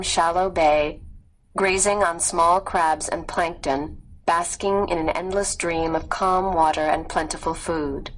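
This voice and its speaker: A woman reading scripted nonfiction as an audiobook narrator, measured and expressive. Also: fairly low pitch, low-quality recording.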